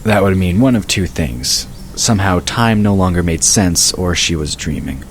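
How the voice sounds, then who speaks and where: A man's voice: sits low, expressive, normal speed, a little hiss or hum. A man reading scripted fantasy story narration as the narrator of an audio drama.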